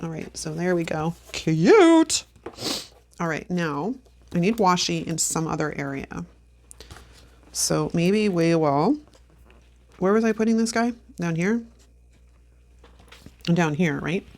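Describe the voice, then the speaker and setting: A woman's voice: on the low side, expressive, takes it slowly, long pauses, darkish tone. A woman in her fifties, sniffly from allergies, chatting casually to viewers while she decorates a planner, talking to herself and laughing often. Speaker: planner vlogger. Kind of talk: casual plan-with-me chat.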